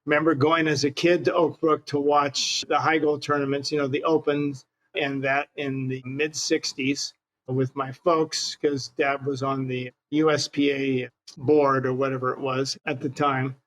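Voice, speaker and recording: Older American man, slightly higher-pitched voice. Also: flat delivery, measured pace, fairly bright tone, low-quality recording. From Hawaii, United States. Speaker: interview guest. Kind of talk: casual reminiscing.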